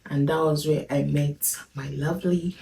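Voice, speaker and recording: A woman's voice: low-pitched, steady tone, moderate pace, vocal fry, warm tone, a little hiss or hum. A woman in her thirties with a Nigerian accent, chatting casually on a family vlog and laughing often. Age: 30s. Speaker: vlogger. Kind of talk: casual chat. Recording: echoey room from far off.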